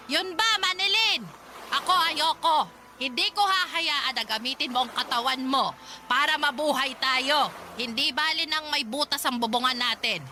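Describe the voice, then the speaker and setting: A woman playing a poor, protective old grandmother in a Tagalog radio drama, halting and scolding as she talks. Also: high-pitched, pushed and bright, a little hiss or hum. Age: older.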